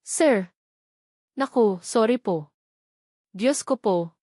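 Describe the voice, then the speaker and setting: A woman's voice: a little high, expressive, very slow, warm tone, no room sound, studio quality, full character voice. A woman voicing a nervous but spirited young housemaid who speaks politely and deferentially, in a storybook audio drama. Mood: flustered. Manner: polite, using po and sir, flustered and defensive.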